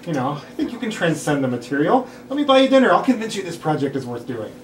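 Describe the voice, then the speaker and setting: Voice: medium pitch, big pitch swings, quick pace, natural voice. An actor playing an eager amateur filmmaker with persuasive salesman-like patter at a comedy table read. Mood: pleading, persuasive. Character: eager, pushy amateur filmmaker pitching and pleading. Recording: roomy distant mic, clear background noise.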